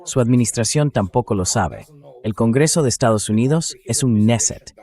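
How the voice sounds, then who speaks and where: A man's voice: mid-range voice, lively intonation, normal speed, a little mellow. A man's synthetic dubbing voice giving blunt, sardonic political and military commentary as an interview guest.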